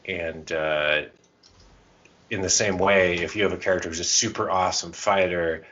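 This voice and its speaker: A man with a low but bright voice talks casually as a podcast co-host. He rambles and thinks out loud as he goes. The recording is a little dull and lo-fi. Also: fairly even intonation, normal speed, slight background noise. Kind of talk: casual chat about tabletop roleplaying and comics.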